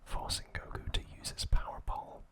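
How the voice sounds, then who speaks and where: A man hosting ASMR, speaking softly and haltingly in an unhurried whisper, close to the microphone. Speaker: ASMR channel host. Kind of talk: whispered ASMR, reading episode summaries and chatting to viewers.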